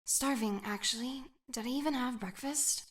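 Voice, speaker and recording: Soft, hesitant young woman, earnest and a bit anxious, voiced by a woman. Her voice sits fairly high and sounds a little distant from the mic. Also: moderate pace, lightly acted. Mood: casual. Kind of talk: fan animation voice acting.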